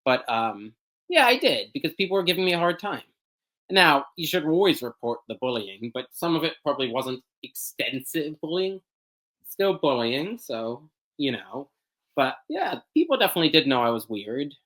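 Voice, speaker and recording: A man's voice: a little high, big pitch swings, normal speed, fairly bright tone, rough recording. A young man talking candidly as an interview guest, rambling through his answers with many fillers, restarts and frequent laughs. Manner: rambling, self-deprecating, laughs often mid-sentence, many um fillers and restarts. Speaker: podcast guest. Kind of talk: candid personal interview talk.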